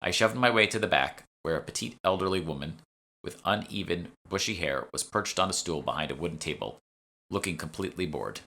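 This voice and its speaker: A man's voice: sits low, expressive, a little fast, creaky, sharp tone. A man reading scripted narration from a fantasy novel as its author. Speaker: author reading own audiobook.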